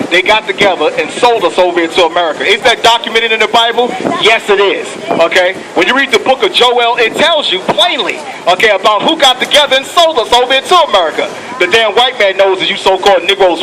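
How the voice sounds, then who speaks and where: A man preaching outdoors on a city street, fiery and profane, pushing each point with "okay" and "come on". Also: high voice. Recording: loud street noise outdoors.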